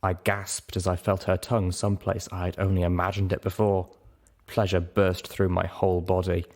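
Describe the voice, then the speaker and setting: A man's voice: sits low, monotone, normal speed, a little mellow. A man narrating a story in the first person as a dramatic scripted reading. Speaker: story reader.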